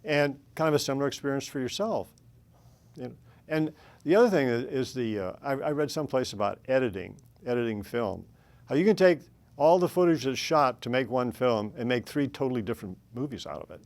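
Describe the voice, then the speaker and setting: A man's voice: medium pitch, animated, brisk, a little mellow. A man hosting an interview, asking questions in a conversational way. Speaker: host. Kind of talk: casual interview chat.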